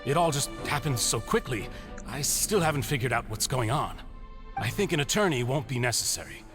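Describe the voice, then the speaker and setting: A man's voice: medium pitch, big pitch swings, a little fast, over music, natural voice. An actor playing an affable accused man in a video game, protesting innocence in a placating, reasonable tone. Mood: apologetic, bemused. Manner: placating, casual, reasonable-sounding. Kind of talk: scripted game dialogue.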